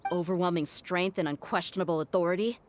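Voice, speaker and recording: An actress playing a tough, blunt, hot-headed young desert mercenary in an anime video game. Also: female voice, fairly low pitch, fairly even intonation, fast, a little hiss or hum, natural voice. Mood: wary. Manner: blunt, forceful phrasing.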